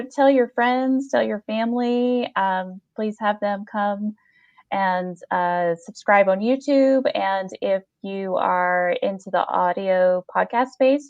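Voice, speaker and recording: A woman hosting a casual podcast, effusive and encouraging with those she talks to. Her voice sits at a medium pitch. The sound is dry and slightly dull, like a lo-fi stream. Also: a little mellow, no echo. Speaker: podcast co-host. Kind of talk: casual interview chat with plugs.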